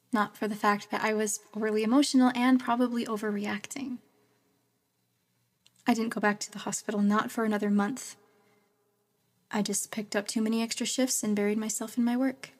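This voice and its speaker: A woman's voice: medium pitch, close to an everyday voice. A young woman acting as a wistful, regretful, hesitant young woman in an audio drama narrated in the first person. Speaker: lead actress and narrator.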